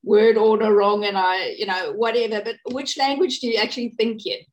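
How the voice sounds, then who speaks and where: A woman's voice: mid-range voice, steady tone, fairly quick, poor audio. A middle-aged woman with a South African accent, a native Afrikaans speaker, interviewing a guest with direct, encouraging questions. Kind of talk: conversational interview.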